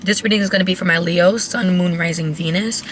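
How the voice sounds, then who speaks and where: A woman who has lost her voice, raspy and cracking, giving a chatty, blunt tarot reading to her viewers. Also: on the low side, fairly even intonation, brisk, slight background noise. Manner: chatty, blunt, swears casually. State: hoarse, voice cracking. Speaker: tarot reader. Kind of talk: casual tarot reading to camera.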